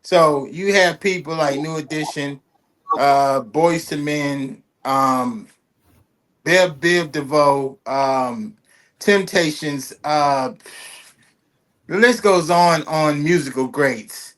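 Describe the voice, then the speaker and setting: A man hosts a casual interview, his voice sitting a little high. He rambles eagerly, circling back and repeating himself as he talks. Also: takes it slowly, fairly bright tone. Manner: enthusiastic, rambling, heavy fillers and repeats. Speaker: host.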